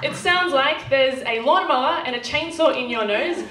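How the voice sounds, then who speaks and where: A woman's voice: sits high, normal speed, a bit bright. A young Australian woman with a nasal twang doing brash, sweary stand-up comedy. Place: comedy club stage. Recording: echoey club room, distant, noisy.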